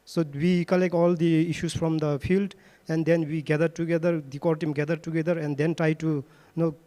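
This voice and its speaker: A man from Bhutan adds to a panel answer, pausing often on fillers. His voice sits fairly high with a mellow tone. A long hall reverb trails behind him. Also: flat delivery.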